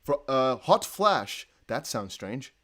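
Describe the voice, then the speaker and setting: A young man with a Dutch accent hosting a casual livestream, reading chat aloud and breaking into excited whoops. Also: mid-range voice, slow. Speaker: crypto livestream host.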